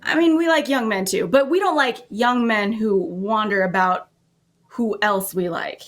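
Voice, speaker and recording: A woman's voice: mid-range voice, unhurried, lightly acted. A woman voicing a chatty, flustered, prim young lady cat in a gushing, theatrical, rambling way. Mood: indignant.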